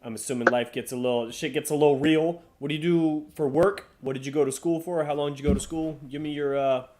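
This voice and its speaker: A man hosting a podcast, asking casual questions in a relaxed way with frequent fillers. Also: a little high, quick pace. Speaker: podcast host. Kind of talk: casual interview chat.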